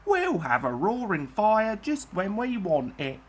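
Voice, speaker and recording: A woman voicing a loyal male railway fireman in a children's storybook, matter-of-fact, deferential and low in pitch. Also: big pitch swings, unhurried, breathy edge. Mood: confident.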